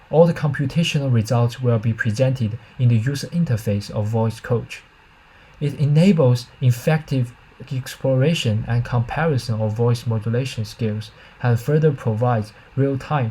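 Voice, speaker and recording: A man reading a scripted academic presentation as a researcher, in a formal, measured manner. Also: medium pitch, warm tone, slightly rough, low-quality recording. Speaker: researcher presenting own system.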